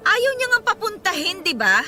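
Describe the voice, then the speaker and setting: A woman's voice: extremely high pitch, big pitch swings, normal speed, pushed and bright, faint music behind, heavily acted. An actress playing an indignant, sarcastic mother who feels bitterly wronged, in an emotional radio drama style. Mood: indignant, hurt. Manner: biting, sarcastic retorts.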